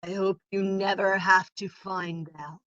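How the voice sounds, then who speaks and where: A woman voicing a mysterious vampire-like teacher with a put-on Romanian accent, slow and hushed. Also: mid-range voice, little pitch movement, dull audio, natural voice. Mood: ominous.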